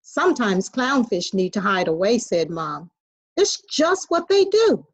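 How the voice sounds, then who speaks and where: Female teacher, highish and very lively, expressive storybook read-aloud, muffled lo-fi capture. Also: a little slow, slightly rough, no room sound. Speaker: teacher reading aloud to children. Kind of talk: children's picture book read-aloud. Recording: dry, dull lo-fi audio.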